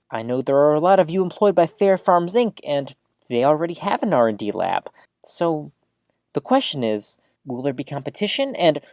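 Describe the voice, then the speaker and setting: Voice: mid-range voice, no room sound, studio quality, natural voice. A performer playing an earnest, eager novice host of a small-town community radio show, chatty and folksy, talking straight to the listeners in an audio drama. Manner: folksy, chatty, expressive, addresses listeners directly. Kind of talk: scripted audio drama as local radio broadcast.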